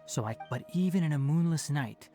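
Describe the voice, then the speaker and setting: A woman voicing a composed, thoughtful young scholar, measured and articulate, in an anime game. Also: sits low, expressive, dark tone, faint music behind. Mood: pensive.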